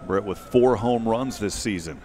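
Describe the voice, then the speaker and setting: A man in his thirties calling a baseball game live in short, measured phrases, speaking into a headset mic outdoors. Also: lowish voice, darkish tone, faint music behind. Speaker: radio play-by-play baseball announcer. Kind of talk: live sports commentary. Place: outdoors at a windy ballpark. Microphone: headset boom mic.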